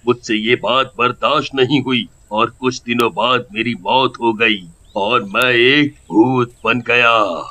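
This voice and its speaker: A man voicing a vengeful male ghost in a cartoon story, talking slowly and threateningly. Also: pushed and bright. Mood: accusing. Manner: slow, low and threatening. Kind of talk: cartoon voice acting.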